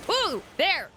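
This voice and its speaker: A woman game streamer with a high, lively voice, chatting casually to her viewers. She is bubbly and expressive, thinking aloud over clear game music. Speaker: game livestreamer. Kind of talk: casual gameplay chat with viewers.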